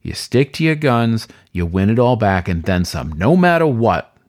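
A man's voice: fairly low pitch, big pitch swings, moderate pace. A man voicing an arrogant, worldly older male orca mentor in an audiobook, talking in a blunt, cocksure manner. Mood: cocky, insistent. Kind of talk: audiobook character voice.

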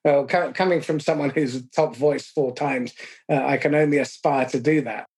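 A middle-aged man hosting a podcast, summing up points in a measured, self-deprecating way. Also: medium pitch, fairly even intonation, darkish tone, slightly rough. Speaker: podcast host. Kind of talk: conversational podcast interview.

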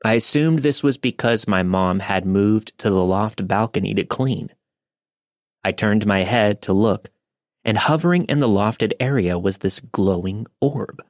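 A man hosting a podcast, reading a listener's story aloud in a steady, measured way. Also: on the low side, dry recording, clean studio sound. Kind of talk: scripted narration.

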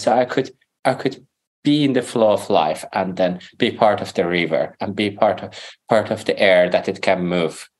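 A man with a Persian accent, a native Farsi speaker, talking as a podcast guest in earnest, halting English. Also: medium pitch. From Iran. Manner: earnest, halting, searching for words. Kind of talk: casual interview talk.

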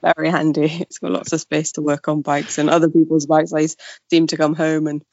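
A young woman from Wales with a creaky voice, chatting casually as an interview guest. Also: low-pitched, flat delivery, brisk, darkish tone, no room sound, dull audio. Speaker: podcast guest, freelance journalist.

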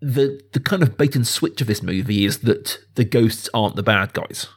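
A man's voice: on the low side, normal speed, slightly rough. A man in his thirties hosting a casual film discussion, speaking enthusiastically and emphatically, building points through repetition. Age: 30s. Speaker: film podcast host.

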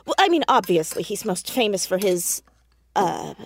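A woman playing an anxious, defensive, bookish fourteen-year-old girl in an audio drama, stammering and halting. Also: medium pitch, big pitch swings. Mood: nervous, awkward. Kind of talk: naturalistic audio drama acting.

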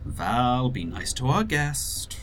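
A man playing a polite, fatherly man in an audio drama, speaking in a gentle, courteous, reassuring way. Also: mid-range voice, very animated, takes it slowly, a little hiss or hum.

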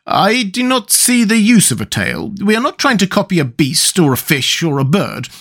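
A man's voice: sits high, sing-song, moderate pace, a bit bright, pitched above the performer's own voice, full character voice. A man voicing a fussy, self-assured storybook tin man who speaks in a formal, precise, opinionated way. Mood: matter-of-fact.

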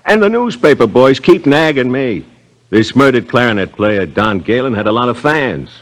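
An actor playing a plain-spoken, skeptical police sergeant and loyal sidekick in a 1950s radio crime drama, talking bluntly and informally. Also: male voice, medium pitch, expressive, normal speed, natural voice. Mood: frustrated.

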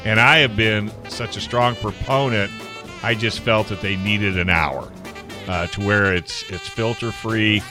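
A man hosting a talk radio show, friendly and a little halting as he introduces his guests. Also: fairly low pitch, steady tone, music in the background. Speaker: radio host.

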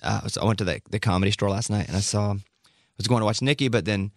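A man hosting a radio show in casual chat, rambling and cutting himself off, his voice cracking and going hoarse. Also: on the low side, no room sound, clean studio sound. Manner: fast, rambling, self-interrupting. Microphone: studio microphone.

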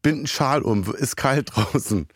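A hoarse man, hosting a podcast in a rambling, self-mocking comic monologue full of halting fillers. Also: medium pitch, no echo, studio quality. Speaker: podcast host.

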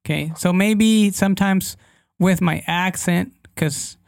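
A young man with a slightly higher voice and a gravelly edge talks casually as a guest. English is not his first language, so he pauses and restarts as he looks for words. The sound is dry and close. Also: no room sound. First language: Spanish. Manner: hesitant, searching for words, frequent restarts. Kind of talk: casual interview chat.

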